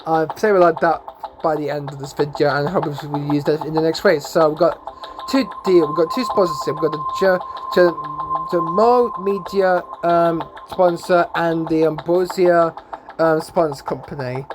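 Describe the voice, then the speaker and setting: A man giving casual gameplay commentary as a YouTuber, rambling and stumbling over his words, his voice hoarse and going. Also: fairly high pitch, steady tone, moderate pace, noisy background. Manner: rambling, stumbling, tags lines with "eh?" and "then, guys". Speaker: gaming YouTuber. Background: game music.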